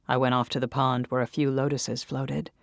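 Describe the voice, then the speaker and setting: A woman's voice: a bass voice, flat delivery, normal speed, gravelly, no room sound, studio quality. A woman narrating a first-person story in a dramatic, intimate and intense manner.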